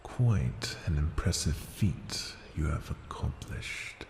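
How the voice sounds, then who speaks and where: An ancient, imperious dragon rumbles in a very low, gravelly register. The speech is formal, unhurried and intrigued, as in a fantasy ASMR roleplay. It rings through long cavernous reverb. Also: male voice, expressive, darkish tone, full character voice. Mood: impressed, measured. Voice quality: very deep, gravelly, breathy at times. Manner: slow, formal, deliberate, imperious. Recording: cavernous long reverb, faint ambient noise.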